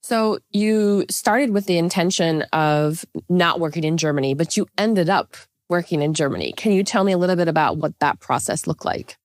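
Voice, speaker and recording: A woman with a notably low voice leads the interview. She recaps the guest's path and asks open questions. The sound is dry and close to the mic. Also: moderate pace, few pauses, no echo. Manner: friendly, summarizing and prompting. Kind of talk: podcast interview.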